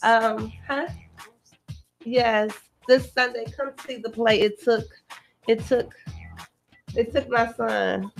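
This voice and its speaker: A woman's voice: on the high side, fairly even intonation, slow, vocal fry, pauses often, faint music behind. A woman, an actress guest, chatting casually and heartfelt, repeating herself for emphasis.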